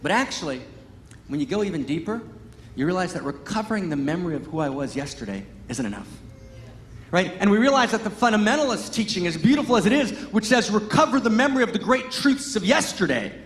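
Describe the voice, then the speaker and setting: A man's voice: high-pitched, very animated, fast talker. A man whose first language is Hebrew and who grew up in Massachusetts gives a Sunday sermon as a guest teacher. He tells it as a loose personal story, full of "you know" and "right?", and builds to emphatic passages. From Pittsfield, Massachusetts, US. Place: large church hall. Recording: echoey church hall with faint ambience.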